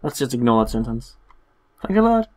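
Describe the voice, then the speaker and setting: A man giving casual, sarcastic and playful commentary on a horror game, swearing and reading game text aloud between quips, with a husky voice. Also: mid-range voice, very animated, brisk. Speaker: let's play commentator.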